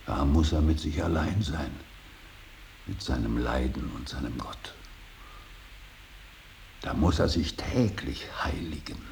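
Brooding elderly painter-professor in radio drama: gravelly, unhurried, grave and intense, slight hiss on the recording. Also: male voice, very deep voice, full character voice. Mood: hushed reverence. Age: older. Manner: solemn, intense, swings from hushed whisper to outburst. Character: stern, brooding old painter-professor and father. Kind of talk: 1980s German radio drama acting.

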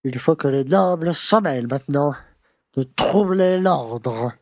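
An actor playing a pompous, grumbling conservative small-town mayor who lectures and scolds in a French audio drama. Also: male voice, fairly high pitch, lively intonation, a little slow, darkish tone, dry recording, studio quality.

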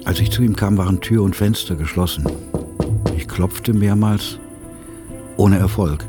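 A sober friend telling his memories in a radio drama. His voice is low and grave, his delivery measured. Music is clearly heard beneath him. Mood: somber, tense. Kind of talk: radio drama narration and dialogue.

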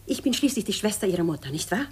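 Resentful middle-aged mother, strongly raspy voice, imperious, pointed phrasing, 1950s radio drama. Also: medium pitch, sing-song, racing, darkish tone. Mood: bitter, accusing. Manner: pointed, commanding.

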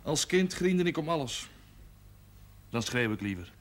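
A man's voice: fairly high pitch, sing-song, a little slow. An actor playing a blunt, cynical man with a sensitive streak, speaking tersely in a television drama. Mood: dry, defiant. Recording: noisy 1970s television soundtrack.

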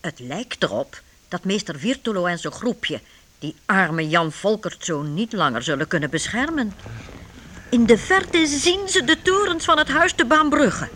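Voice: medium pitch, sharp tone, slight background noise. A narrator reading scripted story links in a youth radio play.

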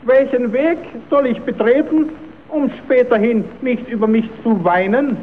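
Casting: a scheming, folksy clown, extremely high voice, children's radio play on narrow vintage sound. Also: male voice, a little slow. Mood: earnest, questioning. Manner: exclamatory, self-addressing, stammers when flustered. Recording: narrow tinny old radio recording, hissy.

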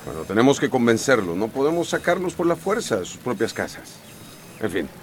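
An actor playing a male town mayor with a Costa Rican accent, insistently pleading for calm at a meeting in a radio drama. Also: mid-range voice, fairly quick, a little hiss or hum, close to an everyday voice. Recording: echoey hall, voice from far off.

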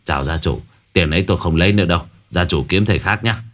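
A man's voice: deep voice, fast talker, a bit bright. A man voicing a blustering male exorcist in a spoken ghost story. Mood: panicked. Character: frightened greedy male sorcerer, gruff and blustering.

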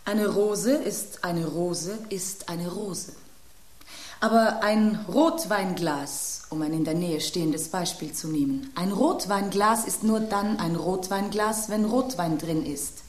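A woman's voice: on the low side, takes it slowly, reverberant. An actress playing a vain rose who calls herself queen of the flowers, lecturing in an affected, haughty way in a radio play.

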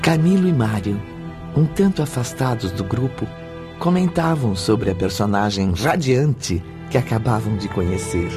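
A man's voice: fairly low pitch, expressive, normal speed, music in the background. A man with a Brazilian accent giving scripted literary narration for a radio drama.

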